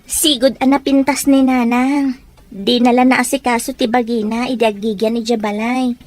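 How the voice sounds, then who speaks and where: High-voiced, concerned daughter played by an actress. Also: female voice, flat delivery, moderate pace, darkish tone, close to an everyday voice. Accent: Ilocano. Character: observant, concerned daughter talking about her parents. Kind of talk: Ilocano radio drama acting.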